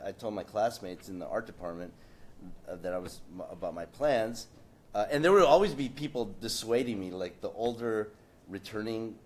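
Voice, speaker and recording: A man on a discussion panel telling a lively personal story in a casual, animated way. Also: fairly low pitch.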